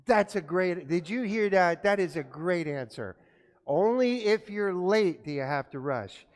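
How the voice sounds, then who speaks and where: A pastor giving a children's sermon in a church, telling an animated story and asking the children questions. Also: male voice, sits high, unhurried. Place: church sanctuary. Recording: echoey church from far off.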